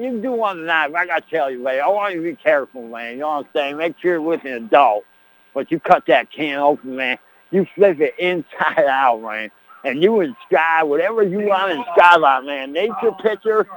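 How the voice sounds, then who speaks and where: A man's voice: a little high, a bit bright, poor audio. A man voicing a boastful rural good-old-boy cousin who rambles folksily on a radio sports broadcast, peppering every phrase with "man" and "baby". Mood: fired up. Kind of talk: comic character bit on a sports broadcast.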